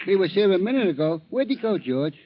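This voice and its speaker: A dull vintage radio recording holds a high-voiced pool room regular. He is folksy, slangy and obliging in old radio drama style. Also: big pitch swings, fast. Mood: casual, helpful. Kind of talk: 1940s radio acting. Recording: muffled 1940s radio recording.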